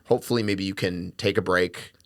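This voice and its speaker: Eager podcast host man, lowish voice. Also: fairly even intonation, brisk, dry recording, clean studio sound. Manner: eager, lots of backchannel yeahs. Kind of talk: casual interview chat.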